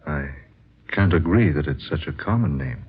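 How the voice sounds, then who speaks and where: An actor playing an earnest, troubled male fantasy writer in a 1940s radio drama, speaking in a deliberate, brooding way. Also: extremely low pitch, expressive, slightly rough, exaggerated voice. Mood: searching, troubled. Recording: muffled, noisy vintage radio recording.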